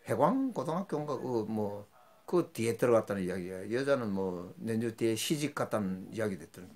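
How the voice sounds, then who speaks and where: An older man tells rambling, folksy stories from his rural youth as host of his own channel. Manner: rambling, folksy, with sudden jumps in pitch. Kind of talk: casual storytelling monologue.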